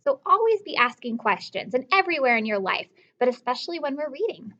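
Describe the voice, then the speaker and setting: A woman teacher reading a story aloud to children, expressively, pausing to instruct and question her young listeners directly. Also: high voice, sing-song, pushed and bright, muffled recording. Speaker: elementary school teacher.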